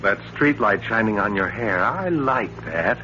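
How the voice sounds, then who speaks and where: An actor playing an uneasy, suspicious husband who probes yet stays affectionate, in 1940s radio thriller style. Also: male voice, on the low side, animated, a little slow, bright, forceful tone. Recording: dull old radio recording with hum.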